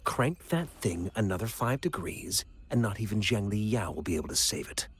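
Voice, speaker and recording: A man's voice: on the low side, lively intonation, normal speed, slight background noise, natural voice. A man voicing an exacting, irritable researcher in a video game, brusque and sardonic. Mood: exasperated. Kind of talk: video game character dialogue.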